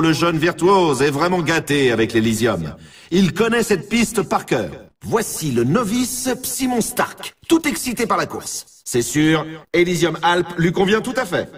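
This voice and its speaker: A male actor voicing an excitable snowboard race announcer in a video game, delivering scripted commentary with a showman's swoops and stretched words. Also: fairly high pitch, husky, recorded from a distance. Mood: upbeat, hyped.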